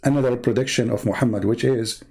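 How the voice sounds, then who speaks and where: A man's voice: medium pitch, fairly even intonation, fast, a little mellow, slightly rough. Sarcastic man, non-native English speaker, commentating. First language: not English. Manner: sarcastic, rhetorical questioning; emphatic, repeats words for effect. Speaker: host of a religious debate show. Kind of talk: mocking commentary on read-out religious texts.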